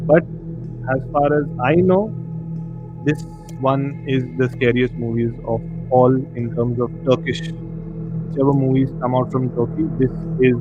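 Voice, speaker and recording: A man's voice: mid-range voice, fairly even intonation, unhurried. Man chatting over music, slightly muffled. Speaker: host of a horror discussion livestream. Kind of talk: casual movie review chat. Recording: muffled audio with clear background music. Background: music bed under the voice.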